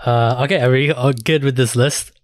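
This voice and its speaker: A man's voice: mid-range voice, steady tone, normal speed, dry recording. A man hosting a podcast in casual chat about anime and games, swearing freely as he talks. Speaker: podcast host.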